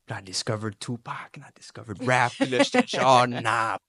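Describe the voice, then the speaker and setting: A man's voice: medium pitch, normal speed, vocal fry, no room sound, studio quality. A young man, a rapper guest talking casually and mixing with English slang. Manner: relaxed, mixes French and English slang. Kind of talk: casual interview chat.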